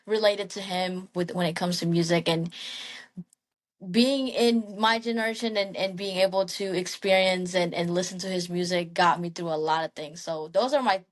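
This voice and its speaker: A woman's voice: fairly low pitch, normal speed. A young woman from the Philippines, a singer and guest, talking casually in an interview with frequent ums and restarts. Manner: soft-spoken, frequent ums and restarts, light laughs.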